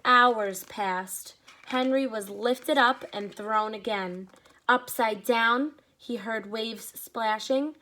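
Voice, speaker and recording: A woman teacher reading a picture book aloud to children, with expressive pacing and short explanatory asides. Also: fairly high pitch, slow pace.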